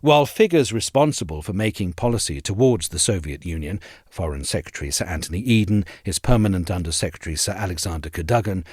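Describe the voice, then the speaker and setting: A man reading a scripted history essay aloud as narrator, in a measured, articulate and formal delivery. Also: deep voice, animated, husky, dry recording, studio quality.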